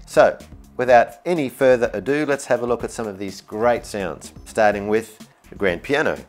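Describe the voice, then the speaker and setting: A man's voice: medium pitch, normal speed. A man presenting a product demonstration in an upbeat, enthusiastic way. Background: music, demonstrated keyboard sounds.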